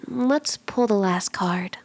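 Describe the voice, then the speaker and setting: A woman voicing a flirty, soothing kitsune fortune teller in an ASMR roleplay, speaking gently and coaxingly, at times in breathy whispers. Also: mid-range voice, takes it slowly, no room sound. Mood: soothing, mystical. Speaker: tarot reader.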